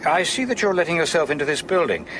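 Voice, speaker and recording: An actor playing a courteous, uneasy older English solicitor in a radio drama, polite, formal and measured. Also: male voice, on the high side, animated, fairly bright tone, slight background noise, rough recording. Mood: politely insistent. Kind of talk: radio drama acting with brooding narration.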